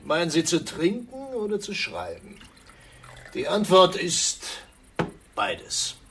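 An actor playing a troubled, hard-drinking writer in a German radio crime drama, brooding and sardonic, speaking haltingly with a creaky voice. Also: male voice, on the high side, wildly swooping pitch, pushed and bright, slight background noise, full character voice.

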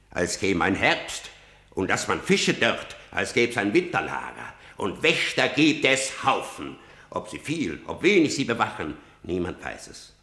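An old, defiant seer of radio tragedy, declaims verse slowly and gravely. His delivery swells and drops widely as he probes and warns. The space around him sounds roomy. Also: rumbling low, a bit bright, exaggerated voice. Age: older. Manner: declamatory, deliberate, swelling and dropping. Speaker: actor. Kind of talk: theatrical radio drama in verse. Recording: roomy, reverberant radio play recording.

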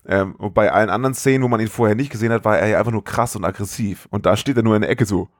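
A man's voice: on the low side, rapid-fire, no room sound. Man chatting casually, dry close sound. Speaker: podcast co-host. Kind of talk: casual film-review chat.

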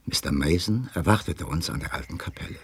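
A man in a radio play acting a detective's loyal gentleman companion, narrating and speaking in a composed storytelling manner. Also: low voice, a little fast, a little hiss or hum. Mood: composed, suspenseful narration.

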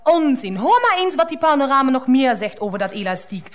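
Farce mistress, bossy yet flustered, high voice with lively swings, radio drama. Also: female voice, a little fast. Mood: brisk, bossy. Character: brisk, bossy, flustered female lover in a farce. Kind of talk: radio play acting.

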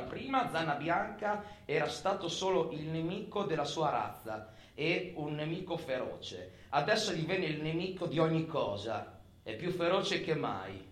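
Restless, higher-voiced prisoner, echoey. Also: male voice, a bit bright, a little hiss or hum. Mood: intense reading. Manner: colloquial, halting, repeats himself, talks as if to a listener. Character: imprisoned adult man, restless, wistful, obsessive, foul-mouthed. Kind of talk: theatrical dramatic monologue in radio theatre.

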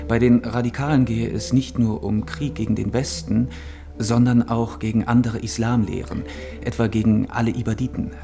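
A man's voice: mid-range voice, steady tone, moderate pace, vocal fry, over music, lightly acted. An actor playing an uneasy male art gallery owner in a radio drama, explaining things in an informative way. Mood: explanatory. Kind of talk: radio drama acting, measured dialogue.

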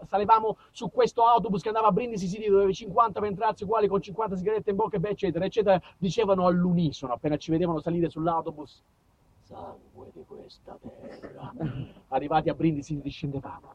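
High-pitched man telling stories theatrically. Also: husky, dull audio. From southern Italy. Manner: emphatic, declamatory, repeats phrases for effect. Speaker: actor-storyteller. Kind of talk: theatrical storytelling monologue.

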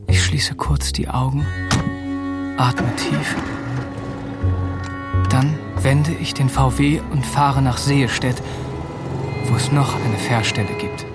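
A man's voice: mid-range voice, takes it slowly, pauses often, lightly acted. Man playing a wry young soldier, music behind. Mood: reflective. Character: young soldier telling his own story, wry. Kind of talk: radio play acting with first-person narration.

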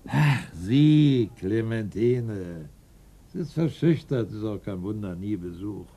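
Volatile eccentric man in his sixties, husky creaky voice, very animated, radio play. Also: lowish voice, exaggerated voice. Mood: grumbling. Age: 60s. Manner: excitable, erratic, quick to outrage. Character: eccentric, volatile 65-year-old man in a lonely farmhouse. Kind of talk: German radio play acting.

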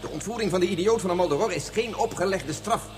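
A man's voice: sits high, fast, natural voice. An actor playing an irritable, rational scientist father in a radio drama, arguing dismissively and insistently. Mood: irritated.

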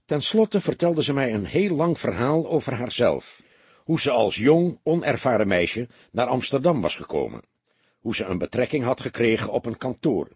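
Man reading as an earnest, husky young informant. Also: mid-range voice, sing-song, normal speed, darkish tone, dry recording. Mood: earnest, reporting. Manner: hesitant, deferential, explanatory. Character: earnest young man reporting hesitantly to police. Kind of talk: audiobook character dialogue.

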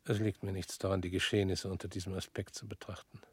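An actor in a radio play playing a weary, guilt-laden former party official who talks in a measured, brooding way and often drops to a whisper. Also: male voice, sits low, little pitch movement, breathy edge, no room sound.

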